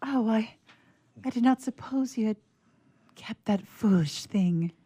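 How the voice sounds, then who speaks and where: A woman playing a spirited, bashful young woman in a sentimental radio theatre story, with an expressive, emotional delivery. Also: a little high, a little slow, breathy edge. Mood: flustered, ashamed.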